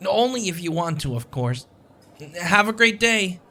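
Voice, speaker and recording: A man's voice: high-pitched, lively intonation, a little slow, bright, forceful tone, a little hiss or hum, voice pushed up, exaggerated voice. A man playing a shy, anxious, sweet young male stranger in a romantic audio roleplay, hesitant, stammering and full of self-doubt. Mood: shy, warm.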